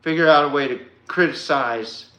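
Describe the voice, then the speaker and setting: A man's voice: on the high side, moderate pace, sharp tone. A man from Texas hosting a sports podcast, talking casually to viewers in an informal, slangy, folksy way. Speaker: podcast host. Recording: roomy, echoey sound.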